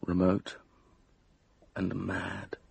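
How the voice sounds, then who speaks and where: A man's voice: low voice, monotone, extremely slow, creaky, a little mellow, a little hiss or hum, exaggerated voice. An actor with a British accent playing an uneasy, evasive young man with a hesitant manner in a radio drama. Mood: grave, unnerved.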